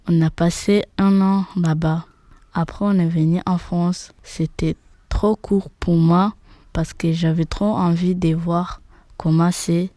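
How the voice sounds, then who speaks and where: A teenage girl reads her story aloud in a low, mellow voice. She takes it slowly and carefully, recorded close and dry. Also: dry recording. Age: teens. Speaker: student reading her text. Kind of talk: read-aloud personal account.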